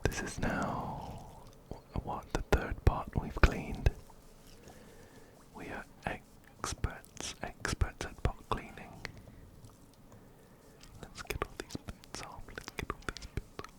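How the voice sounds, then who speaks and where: Male ASMR gaming host, close-miked whisper, unhurried and soothing, gently repetitive narration. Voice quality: whispered, breathy, unvoiced. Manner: soothing, repeats words and phrases softly. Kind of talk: ASMR game playthrough, reading dialogue and narrating. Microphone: close-up binaural ear-to-ear mic.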